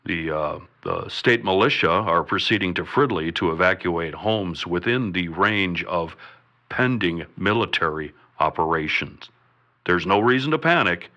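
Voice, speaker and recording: A bumbling governor in a radio comedy, very low and forceful in voice. He plods haltingly through an official announcement on a slightly dull broadcast recording. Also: lively intonation, exaggerated voice. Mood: halting officialdom. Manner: halting, official, list-like delivery. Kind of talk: comedic radio drama acting.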